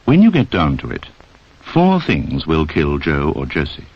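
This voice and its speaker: A deep-voiced man delivers scripted 1960s film narration. His intonation moves widely as he addresses the listener directly with pointed instruction. The soundtrack is dull and dated. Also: huge pitch swings, unhurried. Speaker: narrator of a public information film.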